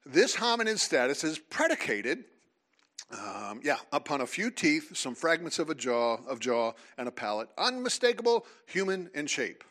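Animated man leading a lively seminar. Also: on the high side, normal speed. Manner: animated, teasing, calls on audience. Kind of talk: lively creationist talk with audience. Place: hall with live audience.

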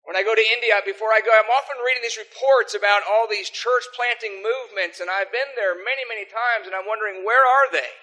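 A man's voice: high-pitched, a little fast, hardly any pauses, sharp tone, rough recording. An older man with an American accent, a pastor preaching a sermon and praying earnestly. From South Africa. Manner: earnest, pleading in prayer. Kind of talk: sermon with opening prayer.

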